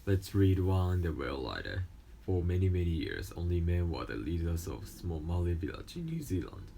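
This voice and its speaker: A young man whose first language is Japanese, speaking English with a mostly American accent and a slight Japanese trace, reading textbook lessons aloud with halting restarts. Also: low-pitched, sing-song, creaky, mellow, a little hiss or hum. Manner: halting, with restarts and self-corrections. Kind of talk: casual talk, then reading school textbook passages aloud.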